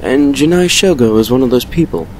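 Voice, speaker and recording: A man playing a courteous, secretive vampire lord in an audio drama, speaking in a measured, formal, confiding way. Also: medium pitch, lively intonation, a little mellow, a little hiss or hum, natural voice, rough recording. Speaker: voice actor in a fan fiction audio drama. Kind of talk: dramatic character dialogue and storytelling.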